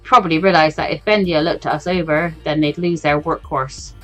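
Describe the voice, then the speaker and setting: A woman's voice: deep voice, steady tone, normal speed, a bit bright, faint music behind, voice pushed down. A woman voicing a cynical, blunt man drinking at a bar in a visual novel, talking in a casual, grumbling way. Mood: cynical, dismissive. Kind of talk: visual novel read-aloud.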